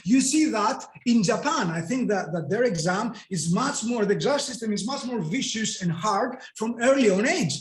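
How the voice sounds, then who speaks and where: A man's voice: sits high, animated, normal speed, few pauses. A man from Greece whose first language is Greek, speaking English with a Greek accent as an interview guest. He talks emphatically and poses rhetorical questions that he answers himself. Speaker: guest coach and NLP trainer. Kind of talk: explanatory interview talk. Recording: echoey room.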